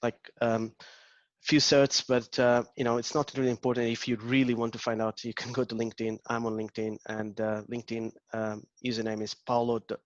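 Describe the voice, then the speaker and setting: A man's voice: mid-range voice, level tone, fairly quick, dry recording, highs cut off. A man with a Portuguese accent, an agile coach leading an interactive online workshop, hesitant with many ums and restarts. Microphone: video call.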